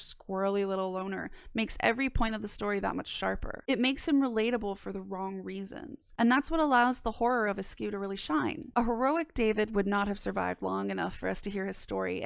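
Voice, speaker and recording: A woman's voice: medium pitch, vocal fry, smooth, no echo. A young woman giving scripted commentary with casual asides, wry, blunt and sweary, close on a microphone. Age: young adult. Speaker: video essayist reviewing a horror podcast. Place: small indoor home studio. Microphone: close microphone on boom arm.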